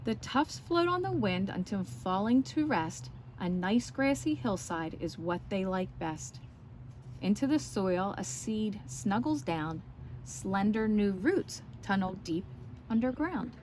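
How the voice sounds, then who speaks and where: A woman speaks slowly, as in a story time for young children. She explains things simply and checks in with questions as she reads and shows. There is some background noise in the recording. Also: medium pitch, animated, a little mellow, poor audio. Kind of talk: read-aloud and show-and-tell for young children.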